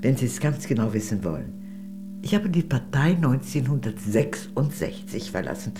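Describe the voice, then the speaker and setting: A woman's voice: extremely low pitch, sing-song, normal speed, over music. An actress playing a worldly, gracious older lady, a former communist, in a radio play, speaking in a genteel, arch, confiding way. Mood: wry, confiding.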